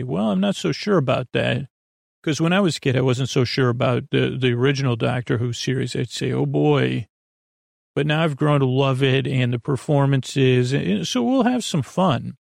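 A man hosting a bedtime podcast in a rambling monologue, with a gravelly voice and a bumbling way of circling around his point. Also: medium pitch, no echo, studio quality. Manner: meandering, bumbling, trailing off and circling back. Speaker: podcast host.

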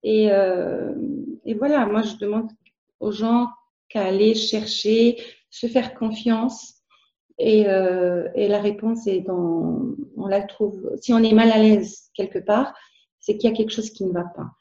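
A woman's voice: medium pitch, steady tone, unhurried, dark tone, reverberant, dull audio. A woman whose first language is Arabic, speaking in a casual interview as a guest, hesitant, with many fillers and restarts.

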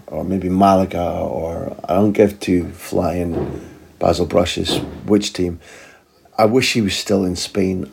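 A man with a low, mellow and slightly husky voice rambles through a relaxed podcast chat. He speaks as a football journalist and co-host, wry and reflective, with plenty of asides. The sound is warm and unhurried.

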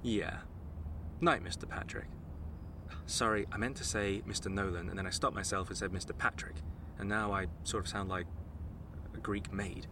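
A man's voice: sits low, fairly even intonation, normal speed, noisy background. An actor playing a nervous, rambling young man on a football club's staff, stammering and apologetic. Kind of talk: audio drama acting.

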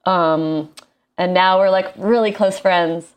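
A woman in her thirties with an American accent, chatting casually in an expressive, slangy, self-deprecating way. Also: on the low side, slow, clear, resonant tone. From small town in Indiana, United States. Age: 30s. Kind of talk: casual chat.

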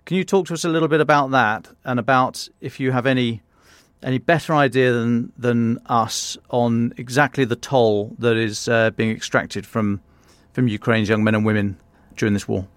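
A man's voice: mid-range voice, steady tone, normal speed, dry recording. A man hosting a podcast interview, talking conversationally and often repeating words as he goes. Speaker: podcast host.

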